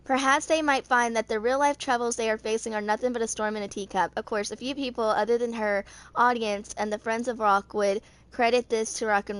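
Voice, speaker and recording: A woman in her twenties reading written game lore aloud in an amateur narration, haltingly, stumbling over words and rereading them. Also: on the high side. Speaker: video creator reading game character lore.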